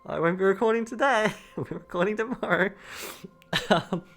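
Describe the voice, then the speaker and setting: Hoarse, giggly podcast co-host man. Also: high voice, moderate pace, a bit bright, faint music behind. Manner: rambling, laughs often between phrases. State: losing his voice. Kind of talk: casual gaming chat.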